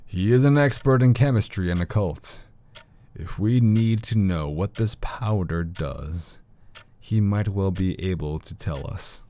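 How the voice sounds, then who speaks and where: An actor playing a grave, learned older doctor of the occult in an audio horror drama, speaking in a measured, formal way. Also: male voice, low-pitched, mellow. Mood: cautious.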